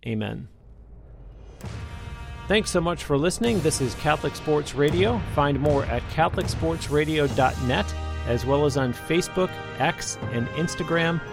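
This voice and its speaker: A man's voice: on the high side, animated, moderate pace, creaky, over music. A man hosting a faith radio show, affably wrapping up an interview and leading a prayer.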